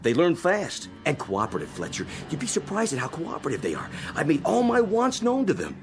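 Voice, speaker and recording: An American actor playing a cocky, power-hungry astronaut technician in a radio play, gloating and excitable. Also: male voice, on the high side, fairly quick. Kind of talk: dramatic radio play acting.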